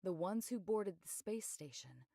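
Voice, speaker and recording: Composed, creaky-voiced investigator. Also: female voice, medium pitch, lively intonation, dark tone, no echo, clean studio sound. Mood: measured, explanatory. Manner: measured, matter-of-fact, explanatory. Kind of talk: scripted anime game voice acting.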